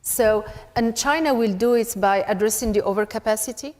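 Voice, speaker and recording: A woman from Morocco, speaking as a panelist in a fairly high voice. She lays out her points one by one, numbering them as she goes. Her words carry a long echo, as in a large conference hall. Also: a little fast, darkish tone. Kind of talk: panel discussion.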